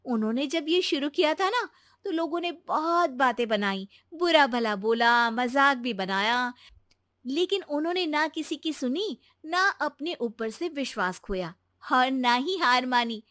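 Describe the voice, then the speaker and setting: A woman's voice: high-pitched, expressive, a little slow, fairly bright tone, no echo, clean studio sound. Woman as a bold young daughter-in-law. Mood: persuasive. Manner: earnest, persuasive.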